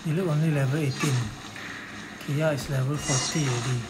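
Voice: mid-range voice, fairly even intonation, a little fast, low-quality recording. A gamer giving casual commentary during gameplay, with game music playing. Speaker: game streamer. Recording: loud game music behind voice. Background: loud game music.

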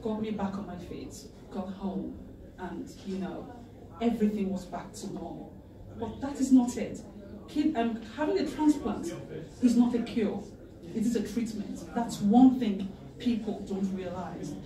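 A middle-aged woman giving a heartfelt personal testimony at a lectern, earnest and emotional, pausing to gather herself. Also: mid-range voice, mellow. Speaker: patient campaigner giving a speech. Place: wood-panelled meeting room, indoors, at a lectern. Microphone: far camera in the audience. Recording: echoey room from far off, faint ambience.

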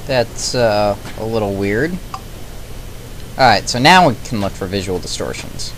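Man, let's play commentary, chatty, thinking aloud, lowish voice, steady hiss behind. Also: a little slow. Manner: thinking aloud, chatty, wondering and self-questioning. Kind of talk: casual gameplay commentary.

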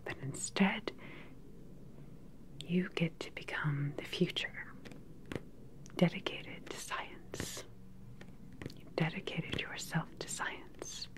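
A woman playing a calm, sinister cyborg scientist in an ASMR roleplay, speaking close to the mic in a slow, deliberate, soothing and clinical way. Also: low voice, rough recording. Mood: coolly sinister. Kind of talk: ASMR soft-spoken whispered roleplay. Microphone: close ASMR microphone.

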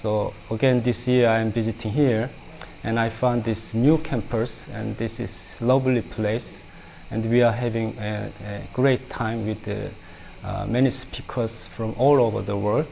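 A man's voice: on the low side, steady tone, a little slow, vocal fry, a little mellow, slight background noise, rough recording. A middle-aged man from Korea speaking hesitantly with many fillers in an interview. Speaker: research center representative. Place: indoors, stone-walled room.

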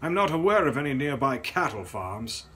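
A man's voice: mid-range voice, sing-song, moderate pace, a bit bright, a little hiss or hum, voice pushed up. A man playing a portly, deferential, bewildered Victorian coachman calling out in a gothic story. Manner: raised, effortful calling out.

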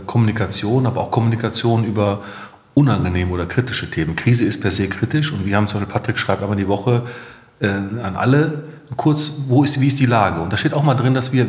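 A middle-aged man with a low voice answers as a business founder in an interview. He explains in a conversational way, dropping in English management jargon. The recording is roomy and echoing.

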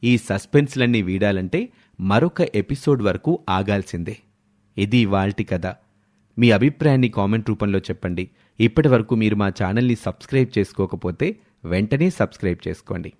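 A man's voice: lowish voice, lively intonation, moderate pace, a little mellow. A man narrating a scripted moral story as a dramatic storyteller.

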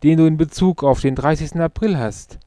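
A man voicing a brisk, jovial salesman and old friend in an audiobook, with a breezy, emphatic delivery. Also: on the high side, dark tone, no echo, clean studio sound, voice pushed up, close to an everyday voice. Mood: chatty, insistent. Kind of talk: audiobook character dialogue.

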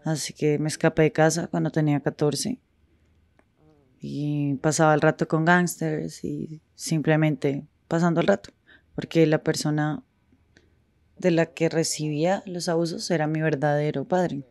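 A woman speaking frankly in a street interview, with halting pauses and restarts. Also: sits low, level tone, gravelly, a little mellow, dry recording.

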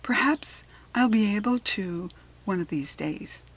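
A woman's voice: medium pitch, lively intonation, a little slow, slightly rough. A woman voicing a polite, chatty, slightly superior talking hare from another world in a children's storybook, articulate and formal. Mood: friendly. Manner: articulate, formal, self-assured. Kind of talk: children's storybook character reading.

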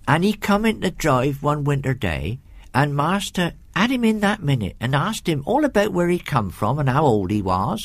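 Storybook housekeeper, played by a man: older, kindly, gossipy servant woman, pitched up, rustic phrasing. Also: dry recording, full character voice. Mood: sighing, gossipy. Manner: chatty, folksy, dialect grammar.

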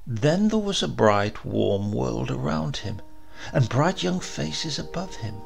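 A man reading a science fiction horror tale aloud in a measured storytelling manner. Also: mid-range voice, very animated, faint music behind. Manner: slow, measured storytelling with gravelly tone. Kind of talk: audiobook narration.

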